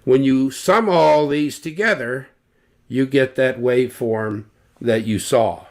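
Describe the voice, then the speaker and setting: An older man slowly explaining loudspeaker measurements, talking deliberately with fillers and restarts, recorded at home on a webcam. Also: mid-range voice. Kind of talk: technical explanation with drawings. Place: home living room, indoors.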